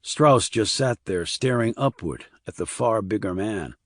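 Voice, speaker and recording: Husky man narrating a dramatic thriller. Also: fairly low pitch, animated, a little slow, dry recording, clean studio sound. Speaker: audiobook narrator.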